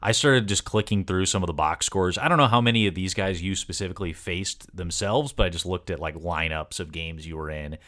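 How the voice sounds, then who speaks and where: A man's voice: sits low, brisk, few pauses, a bit bright. A man hosting a sports podcast, conversationally asking long, wordy questions of a guest. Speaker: podcast host and interviewer.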